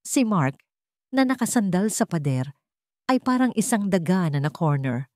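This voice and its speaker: A woman's voice: lowish voice, very animated, moderate pace, a little mellow, no echo, studio quality. A woman reading a dramatic scripted story as its narrator.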